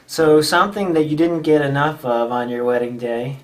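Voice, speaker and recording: A man's voice: on the high side, normal speed. A man from New York state who works as a wedding DJ, hosting a casual interview with upbeat, affirming remarks.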